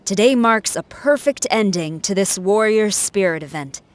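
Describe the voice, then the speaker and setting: A woman playing a stern, dutiful military officer in a video game, speaking in a formal, measured way. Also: mid-range voice, expressive, lightly acted. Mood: formal, respectful.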